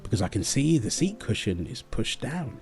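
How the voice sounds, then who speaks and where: A man's voice: mid-range voice, sing-song, normal speed, gravelly, soft background music. A man voicing a concerned, politely astonished mother bear in a children's bedtime storybook. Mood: surprised.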